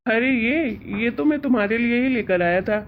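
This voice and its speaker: A woman's voice: on the high side, normal speed, a little hiss or hum, close to an everyday voice. A woman playing an exasperated, reasoning husband in an amateur cartoon story. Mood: teasing, needling. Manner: reasoning, chiding, colloquial. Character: exasperated young husband, patient and teasingly lecturing. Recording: dull, lo-fi audio.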